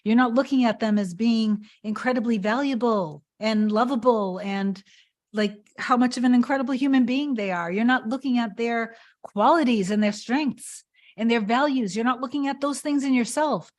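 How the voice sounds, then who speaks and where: A woman's voice: on the high side, normal speed. A woman coaching on a live stream, answering chat comments in an earnest, emphatic way and often repeating herself. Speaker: manifestation coach.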